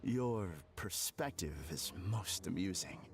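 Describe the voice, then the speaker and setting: An actor playing a calm, formal, smugly polite villain in an anime video game, with theatrical delivery. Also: male voice, low-pitched, takes it slowly, gravelly, music in the background, full character voice. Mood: condescending. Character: calm, polite, smug male villain claiming perfected happiness.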